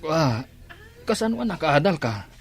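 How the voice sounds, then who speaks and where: A man's voice: on the high side, sing-song, a little slow, a crowd in the background. An actor playing a flustered, awkward, remorseful village man in an Ilocano radio drama.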